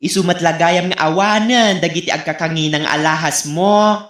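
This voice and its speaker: A woman's voice: on the low side, moderate pace, close to an everyday voice. A woman playing a brassy, nosy friend, echoey. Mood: nosy, mocking. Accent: Ilocano Filipino. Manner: blunt, teasing questioning. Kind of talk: Ilocano radio comedy drama acting.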